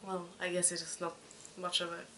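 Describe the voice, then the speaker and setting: A Swedish woman in her twenties hosting a podcast in casual chat, speaking with a Swedish accent and pausing often to find her words. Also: lowish voice, monotone, a bit bright, a little hiss or hum. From Sweden. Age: 20s. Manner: hesitant, searching for words. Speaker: podcast host.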